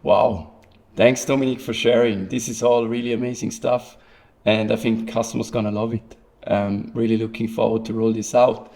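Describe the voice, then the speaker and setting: A man's voice: fairly low pitch, little pitch movement, normal speed, vocal fry, a little mellow. A man moderating a keynote, talking in a loose, rambling, unscripted way with fillers. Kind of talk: casual unscripted chat.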